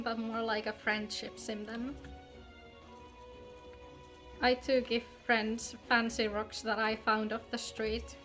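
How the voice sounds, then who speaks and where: High-voiced woman chatting playfully on stream. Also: fairly bright tone. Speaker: game streamer. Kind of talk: casual livestream chat while gaming. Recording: background music behind the voice. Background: music.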